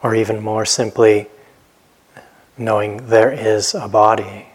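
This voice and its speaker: A man with a low, husky voice leads a guided meditation. He speaks very slowly and gently, leaving long pauses between short phrases as a teacher would. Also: level tone, low-quality recording.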